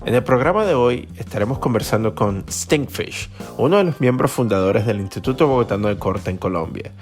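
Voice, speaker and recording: A man's voice: medium pitch, brisk, soft background music. A man hosting a podcast, reading a scripted introduction and then interviewing a guest. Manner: reads promos, then lively questioning. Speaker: podcast host.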